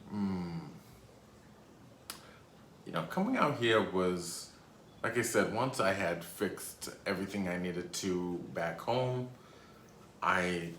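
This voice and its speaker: A man's voice: sits low, fairly bright tone. A man in his thirties from Rhode Island, telling a casual, rambling storytime with many hesitations. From Rhode Island, USA. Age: 30s. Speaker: YouTuber. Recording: echoey room, faint background noise.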